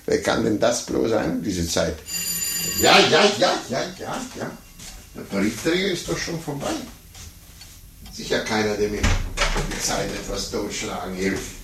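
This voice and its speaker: A pompous, fussy older gentleman. He mutters to himself and talks down to others in animated swings. It is an old radio play with a roomy, noisy sound. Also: lowish voice, slow pace, pauses often. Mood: impatient, grumbling. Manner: muttering to himself, patronizing, self-important. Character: condescending, fussy, self-important older gentleman chess amateur. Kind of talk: 1950s radio play acting.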